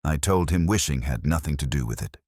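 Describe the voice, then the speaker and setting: A man playing a brooding, melancholy police detective, narrating his story in a measured, solemn, literary manner. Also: extremely low pitch, lively intonation, darkish tone, breathy edge, dry recording, studio quality, full character voice. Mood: brooding, reflective.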